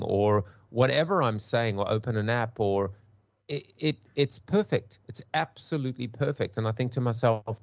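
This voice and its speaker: A man's voice: on the low side, no room sound, highs cut off. A man with a South African accent, a guest on a podcast panel, talking in a hesitant way with many restarts. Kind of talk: casual podcast discussion.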